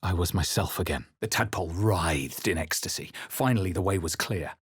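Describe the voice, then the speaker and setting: A male actor playing a brooding fantasy adventurer in a role-playing game, with a husky voice and a measured, dramatic delivery. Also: low-pitched, no room sound, studio quality. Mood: grave, tense. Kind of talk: scripted game voice lines and first-person narration.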